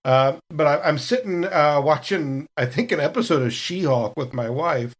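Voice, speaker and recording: A man's voice: on the high side, moderate pace. A man chatting casually as a podcast cast member, telling stories in a rambling way. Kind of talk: casual retrospective chat.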